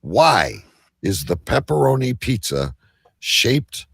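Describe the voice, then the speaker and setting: Male talk show host, lively swooping delivery with some vocal fry, dry close sound, crude banter. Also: mid-range voice, takes it slowly, no room sound. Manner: crude, joking. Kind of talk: casual banter and reading out odd news.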